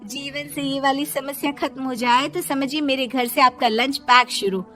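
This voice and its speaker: A woman's voice: sits high, steady tone, moderate pace, a bit bright, soft background music, voice pushed up. A woman voicing a forgetful, naive young daughter-in-law in a whiny, chatty, pleading manner for a comic story.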